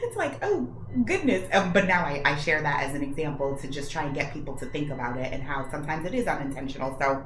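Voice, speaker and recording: Low-voiced American woman in a noisy, echoey room. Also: quick pace, hardly any pauses. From United States. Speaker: radio show guest, cultural responsiveness trainer. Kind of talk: conversational storytelling and discussion. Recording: roomy echo, loud background noise.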